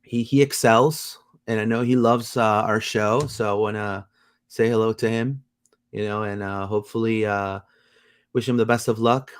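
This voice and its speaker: A man hosting a casual soccer livestream, rambling with many fillers and bursts of excitement. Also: lowish voice, moderate pace, smooth. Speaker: podcast host.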